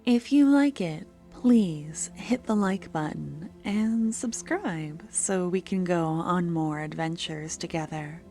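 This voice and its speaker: A woman who makes ASMR roleplays, narrating a story in a hushed voice that partly drops into whispers. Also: fairly low pitch.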